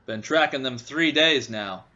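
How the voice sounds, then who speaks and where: A man's voice: mid-range voice, a little slow, bright, forceful tone, muffled recording. A man playing a grim young cowboy gunman who talks in a terse, plain, commanding way in a Western audio drama. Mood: grim, steady.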